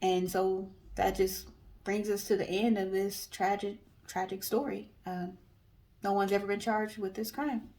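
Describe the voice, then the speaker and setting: A woman's voice: medium pitch, steady tone, measured pace, darkish tone. A woman co-hosting a storytelling guessing show, telling stories in a casual, conversational way.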